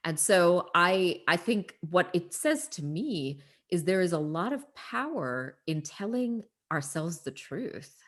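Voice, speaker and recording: A woman's voice: on the low side, very animated, takes it slowly, few pauses, a bit bright. A woman talking through Bible stories in conversation, earnest and emphatic. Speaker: podcast discussion partner.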